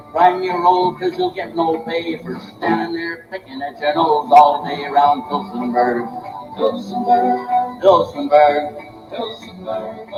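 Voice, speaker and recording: A man's voice: on the high side, gravelly. A man singing a storytelling folk ballad with a repeated chorus. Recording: echoey, muffled old song recording with backing music.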